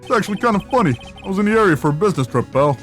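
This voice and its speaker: A woman voicing a loud, blustery and chummy male police detective in a video game. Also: medium pitch, expressive, very fast, faint music behind, natural voice. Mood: blustery, jovial.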